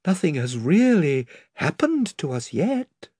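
A man's voice: on the high side, very animated, takes it slowly, mellow, slightly rough, no echo, clean studio sound, voice pushed up, heavily acted. A man doing a frightened young girl. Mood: pleading. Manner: whispered, pleading.

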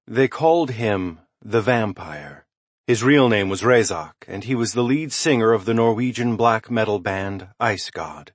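A man reading a suspense thriller novel as scripted narration, in a dramatic, measured storytelling manner with emphatic swells and hushed drops. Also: on the low side, no echo. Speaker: audiobook narrator.

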